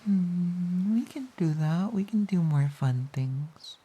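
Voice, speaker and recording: A woman playing a playful, pouty, affectionate girlfriend in an intimate romantic audio roleplay, speaking softly and hesitantly with a breathy voice. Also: fairly low pitch, lively intonation, slow pace, exaggerated voice, poor audio. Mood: tender, reassured. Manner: soft, giggly, hesitant, cooing.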